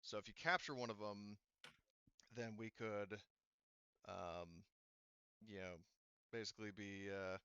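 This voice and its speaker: A man with a low, smooth and bright voice runs a tabletop game. He explains things haltingly and circles back to clarify, on a dry but slightly dull microphone. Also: steady tone, no echo. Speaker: game master. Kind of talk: improvised tabletop game narration.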